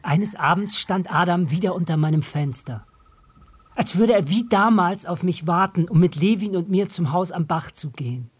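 A husky, higher-voiced pensive narrator. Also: male voice, moderate pace. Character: pensive narrator recalling an old friend at night. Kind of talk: literary radio drama narration.

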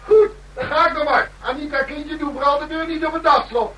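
A young male gangster in a radio drama, his voice strikingly high for a man. He is cocky and taunting, with a gleeful menace under the playful talk. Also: steady tone, normal speed, sharp tone, a little hiss or hum, low-quality recording. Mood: bossy, teasing. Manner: taunting, laughing, playfully threatening. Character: cocky menacing young male gang member fond of guns. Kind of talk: Dutch radio play acting.

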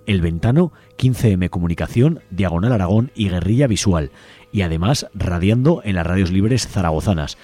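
A man's voice: on the low side, warm tone, slightly rough. A man with an accent from Spain, reading a scripted announcement as host of a community radio programme in a studio. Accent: Spanish from Spain.

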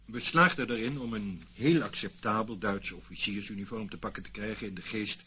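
An actor playing a guarded, indignant middle-aged former army officer under questioning in a radio play, curt and evasive. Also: male voice, lowish voice, a little fast, sharp tone, a little hiss or hum. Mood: reluctant recounting.

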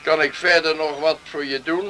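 A man's voice: a little high, fairly even intonation, moderate pace, heavy background noise, lightly acted. A man acting a proud, overworked farmer in a radio play, clipped and dismissive. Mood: curt, stubborn. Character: proud, curt, overworked farmer refusing all help.

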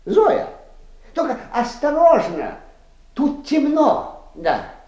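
An actor playing a fussy, absent-minded chemistry teacher in a radio play, muttering, stammering and repeating himself in a husky voice. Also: male voice, extremely high pitch, wildly swooping pitch, very slow, dark tone, highs cut off, full character voice. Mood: preoccupied, puzzled.

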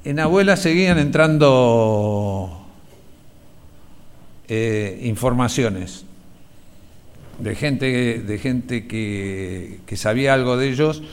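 A man's voice: medium pitch, smooth. Middle-aged Argentine man, conversational testimony, clean resonant voice, reflective delivery that stops and restarts. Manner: halting, reflective, repeating words. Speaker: interviewee, relative of a disappeared person. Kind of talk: personal testimony, conversational recollection.

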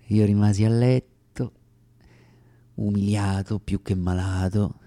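A man performing a comic radio theatre monologue as an actor, with deliberate, wry, dramatic phrasing and pauses. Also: deep voice, vocal fry, warm tone.

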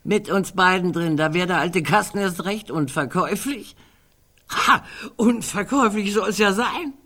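A woman's voice: mid-range voice, animated, a little slow, a bit bright. An actress playing a wry, musing, fussy older woman in a literary radio drama, digressing as she talks. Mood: brooding, wry.

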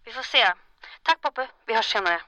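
An actress playing a brisk, capable female undercover agent in an audio drama, speaking in crisp, confident, clipped lines. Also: on the high side, animated, background noise, close to an everyday voice.

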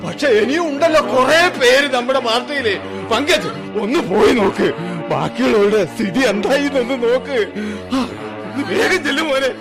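A man's voice: very high voice, animated, normal speed, music in the background. An actor playing an anxious father who searches for his children after a disaster in a radio play. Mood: distressed. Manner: pleading, distressed, comforting.

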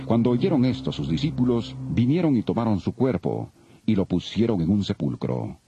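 A man narrating scripture from a script in a solemn, measured, dramatized storytelling style. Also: on the low side, lively intonation, gravelly, noisy background. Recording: dull lo-fi audio, faint music.

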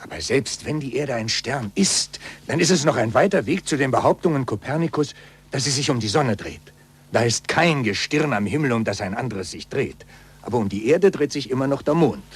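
An actor playing a cautious, anxious middle-aged skeptic, speaking earnestly and urgently in a 1940s German radio play. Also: male voice, medium pitch, expressive, a little fast, husky. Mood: doubtful. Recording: noisy, humming 1940s radio recording.

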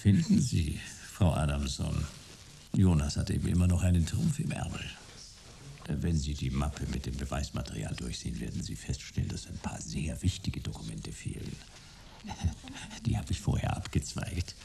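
A man playing a wry, hard-boiled private detective in a sci-fi radio drama, laconic and deadpan. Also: rumbling low, normal speed, vocal fry, slight background noise, full character voice. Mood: smug, cool. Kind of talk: radio drama narration and dialogue, noir detective.

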